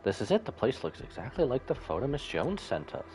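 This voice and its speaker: A man's voice: mid-range voice, huge pitch swings, fairly quick, a little mellow, soft background music. A man live streaming a game, casually commenting and reading dialogue aloud.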